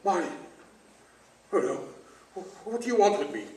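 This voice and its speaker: A man's voice: high-pitched, big pitch swings, moderate pace, darkish tone. An actor playing a miserly, bitter old businessman with a blustering, snappish manner in a radio theater reading. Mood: frightened, suspicious. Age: older. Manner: blustering, snappish, stammering when rattled. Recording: echoey distant stage recording, faint noise.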